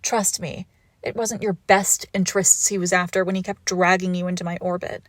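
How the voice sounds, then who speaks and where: A woman playing a suave, controlled villainous ex-lover in an intimate audio roleplay, with measured formal phrasing and soft breathy pauses. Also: fairly low pitch, little pitch movement, no echo, natural voice. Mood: exasperated, protective. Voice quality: creaky, often dropping into whisper. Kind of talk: scripted romantic audio roleplay, intimate and slow.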